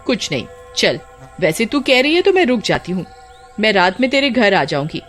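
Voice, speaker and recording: A woman playing a teasing, easily frightened young village woman in animated horror story dialogue, exclamatory and dramatic. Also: medium pitch, moderate pace, faint music behind, lightly acted. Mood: teasing, worried.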